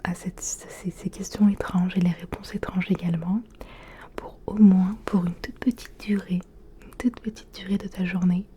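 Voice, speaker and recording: A woman whispering softly and closely in ASMR style, asking the listener gentle questions with hesitant pauses. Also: medium pitch, steady tone, slight background noise. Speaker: ASMR creator.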